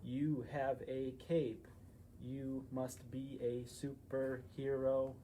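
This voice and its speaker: A cautious, literal robot from a children's storybook, acted out by a man. Each phrase comes very slowly and stiffly. Some noise sits in the background. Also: medium pitch, little pitch movement, warm tone, natural voice, rough recording. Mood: matter-of-fact. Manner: slow, stilted delivery.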